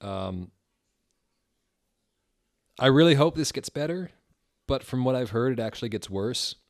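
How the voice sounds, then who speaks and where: A man's voice: medium pitch, animated, unhurried, dry recording, studio quality. A man giving a dramatic, comedic reading of fan fiction as its narrator. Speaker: reader.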